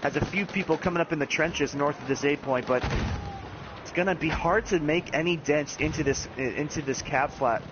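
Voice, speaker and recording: A man giving play-by-play commentary on an online match, talking fast with the odd chuckle. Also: a little high, dull audio, noisy background. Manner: rapid, animated play-by-play with chuckles.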